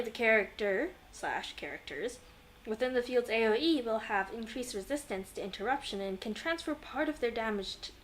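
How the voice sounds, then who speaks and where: A woman's voice: on the high side, a bit bright, a little hiss or hum. A woman streaming a video game with casual commentary, playful and given to rambling asides to herself. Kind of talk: casual gameplay commentary, reading game text aloud.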